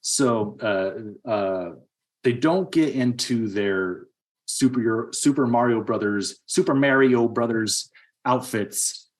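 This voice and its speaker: A man's voice: mid-range voice, takes it slowly. Man chatting casually on a podcast. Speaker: podcast host. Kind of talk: casual movie review podcast chat.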